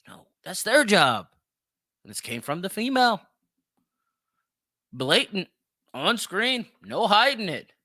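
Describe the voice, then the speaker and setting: A man's voice: high voice, sing-song, takes it slowly, long pauses, pushed and bright, dry recording, clean studio sound. A man hosting a podcast, giving opinionated reaction commentary in a brash, profane, exclamatory way. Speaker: podcast host.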